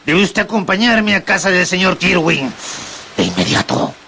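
An actor playing a stern, menacing constable with a gravelly voice in a radio drama. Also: male voice, high voice, sing-song, moderate pace, pushed and bright, a little hiss or hum, full character voice. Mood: stern, threatening. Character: stern, menacing constable ordering a stranger along.

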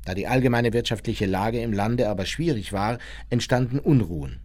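Schauspieler als selbstgefälliger NS-Täter, nüchtern erzählend. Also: male voice, mid-range voice, animated, moderate pace, darkish tone, natural voice. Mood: composed, self-justifying. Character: self-justifying German Nazi war criminal narrating his memoirs. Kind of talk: scripted radio drama narration.

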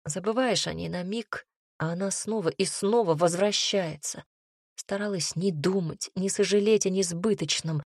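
A woman's voice: fairly low pitch, moderate pace, darkish tone, breathy edge, dry recording, clean studio sound. A woman narrating a first-person fantasy audiobook with a wry, ironic storytelling manner.

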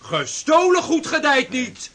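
A man's voice: very high voice, sing-song, unhurried, sharp tone, heavily acted. An actor playing a plain-spoken, rhyme-making helmsman in a radio drama, with an emphatic, declamatory delivery. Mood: playfully reciting.

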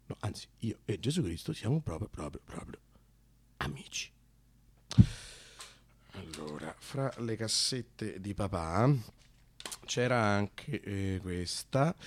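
A man narrating a theatrical radio monologue, deliberate and pausing, phrase by phrase. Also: on the low side, lively intonation, slight background noise. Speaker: narrator and actor.

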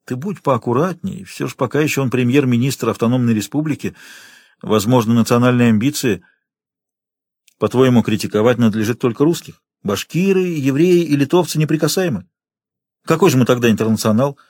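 A narrator's take on a skeptical male newspaper editor, cautious and admonishing. He speaks in a measured, mid-pitched voice, in a dry audiobook recording. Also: darkish tone, dry recording, natural voice. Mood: cautioning.

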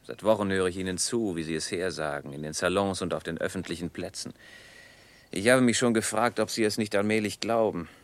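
An actor plays an aristocratic cynic in a radio drama. His voice is deep, and he speaks with urbane politeness and wry skepticism. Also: fairly quick, lightly acted. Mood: wry, skeptical. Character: worldly, skeptical French chevalier, polite and cynical. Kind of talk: German radio play acting.

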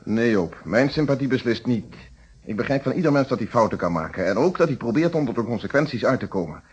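An actor playing a calm, principled middle-aged police inspector in a Dutch radio detective play, speaking in formal, articulate explanations. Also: male voice, mid-range voice, quick pace, husky. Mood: firm, earnest. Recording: dull, muffled old radio recording.

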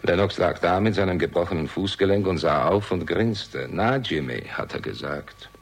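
A man's voice: low voice, moderate pace, creaky, breathy edge, full character voice. A man playing a bitter, cynical war veteran in a German radio crime drama, speaking in a curt, sardonic way. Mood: grim, bitter.

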